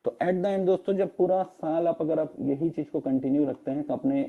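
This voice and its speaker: Hoarse man presenting on a call. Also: on the high side, little pitch movement, moderate pace, phone-quality sound. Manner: explains step by step, mixing English terms, with fillers. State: hoarse throat, coughing. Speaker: network marketing presenter. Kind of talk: online meeting presentation. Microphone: video call.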